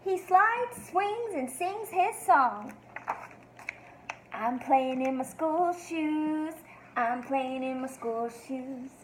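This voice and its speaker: A woman reading a picture book to young children like a teacher, expressive and sing-song, with encouraging questions. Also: high voice, extremely slow, slight background noise, rough recording. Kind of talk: read-aloud for young children, asking questions.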